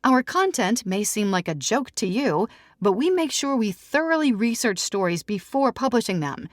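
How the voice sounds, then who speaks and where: A composed female tabloid editor in an audiobook, acted by a woman narrator. She is assured and pointed, speaking in a raised pitch with a dry, close sound. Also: sing-song, normal speed, no echo. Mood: firm, assured. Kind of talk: audiobook character dialogue.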